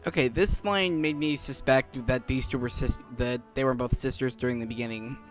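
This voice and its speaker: A man's voice: mid-range voice, steady tone, a little fast. A man giving casual, rambling commentary while playing a video game. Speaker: gaming commentator. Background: game music.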